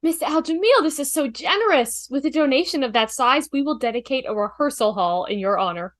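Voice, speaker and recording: A woman's voice: high-pitched, expressive, fairly quick, fairly bright tone, no room sound, studio quality. A woman playing a polite, grateful opera official in a scripted comedy read over a video call. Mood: formal, grateful. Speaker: fan table-read actor.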